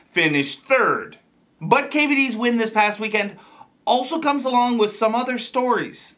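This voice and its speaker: A man hosting a show, delivering comedic news commentary in a jokey, exaggerated way with emphatic pauses and asides. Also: sits high, bright, forceful tone. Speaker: fishing show host.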